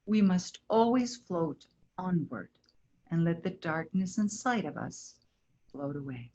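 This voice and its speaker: A woman plays a gentle, wise river spirit in a staged play. She speaks very slowly and instructively, in a lowish voice. The sound is a little dull and lo-fi. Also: lively intonation. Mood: solemn, calm. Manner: measured, earnest, instructive. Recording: dull lo-fi recording.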